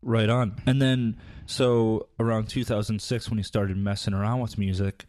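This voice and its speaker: A man's voice: on the low side, normal speed. A man hosting a podcast, talking in a loose, chatty way as he interviews a guest. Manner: relaxed, loose and chatty with fillers. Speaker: podcast host. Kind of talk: casual interview chat.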